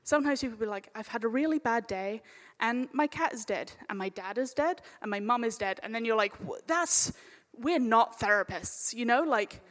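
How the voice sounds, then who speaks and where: A woman's voice: on the high side, expressive, normal speed, fairly bright tone. A woman talking casually on a live panel, chatty and informal, slipping into imagined quotes as she explains. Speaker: panel speaker, audio drama maker. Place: hall at a live convention panel. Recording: echoey hall with long reverb.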